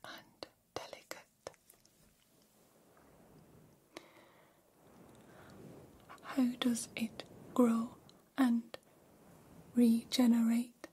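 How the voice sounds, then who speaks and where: A woman voicing a gentle, curious female alien in an ASMR roleplay, speaking in a breathy whisper with careful, formal phrasing. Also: on the high side, monotone, takes it slowly, a little hiss or hum. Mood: curious, fascinated. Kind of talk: ASMR personal attention roleplay.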